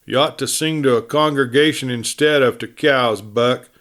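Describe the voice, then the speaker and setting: A man's voice: medium pitch, normal speed, fairly bright tone, natural voice. A man voicing a skeptical, disgusted cowboy in a storybook western, with an American accent and a sardonic manner. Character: sneering, disgusted male cowboy, dry and skeptical.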